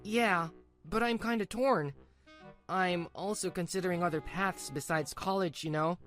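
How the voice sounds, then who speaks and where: A woman's voice: on the low side, unhurried, a bit bright, soft background music. A woman voicing a blunt, exasperated seventeen-year-old boy in a manga dub. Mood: indignant.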